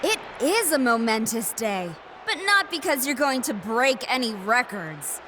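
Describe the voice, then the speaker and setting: A determined wrestling challenger with a very animated delivery. She is sarcastic and confrontational, in video game cutscene style, over faint background noise. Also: fairly high pitch, a little slow, crowd noise. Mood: defiant.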